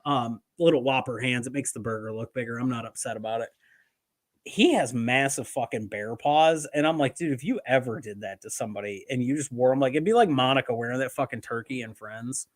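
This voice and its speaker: A man's voice: mid-range voice, brisk. A man co-hosting a podcast, rambling through jokey stories in casual, profane banter. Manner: joking, rambling storytelling. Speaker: wrestling podcast co-host.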